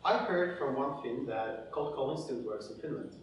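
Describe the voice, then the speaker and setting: A man from Poland speaking as a panelist at a casual industry meetup, halting and restarting, with lively stories. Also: mid-range voice, noisy background. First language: Polish. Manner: halting, many restarts and fillers, animated storytelling. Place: event venue with audience. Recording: echoey room, mic far off.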